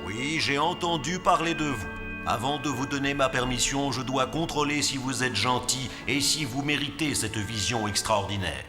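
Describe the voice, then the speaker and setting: A man's voice: medium pitch, moderate pace, lightly acted. A man as a solemn, echoing old tree guardian. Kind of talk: cartoon dub acting. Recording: long echoing reverb, music underneath.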